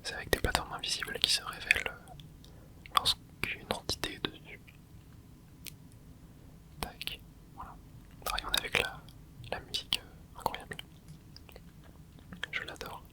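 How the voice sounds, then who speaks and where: An ASMR creator giving soft, whispered commentary while playing a video game, rambling and hesitant with lots of fillers and restarts. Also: unhurried, pauses often, rough recording. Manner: soft unvoiced whisper throughout.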